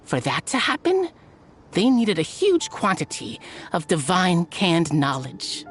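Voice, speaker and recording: A woman narrating a story scene in a video game with even storytelling. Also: on the low side, a little slow, faint music behind.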